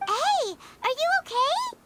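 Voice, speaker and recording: A woman voicing a tiny, childlike fairy companion in a video game, squeaky, eager and friendly. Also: sing-song, takes it slowly, a little hiss or hum, full character voice. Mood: urgent, eager. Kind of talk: scripted video game dialogue. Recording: loud music behind the voice.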